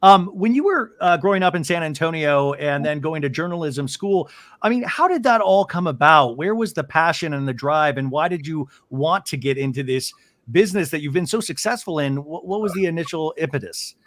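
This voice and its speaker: A man's voice: a little high, expressive, fairly quick, fairly bright tone, dry recording. A man hosting a podcast interview, chatting with enthusiasm. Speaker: podcast host. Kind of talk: casual interview.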